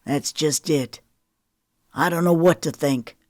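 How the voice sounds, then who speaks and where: A bitter, accusing man with a hard, sarcastic bite, as a woman narrator puts him on. The voice sits low and dry, with no room sound around it. It is audiobook dialogue. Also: slow, a little mellow, no room sound. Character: angry betrayed adult man, cold and accusing.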